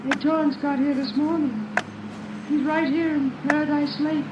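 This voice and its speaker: An actress playing an anxious young woman in melodramatic 1950s radio drama, halting as she speaks. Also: sits high, level tone, highs cut off, lightly acted. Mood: insistent. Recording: very noisy, roomy, distant old radio recording.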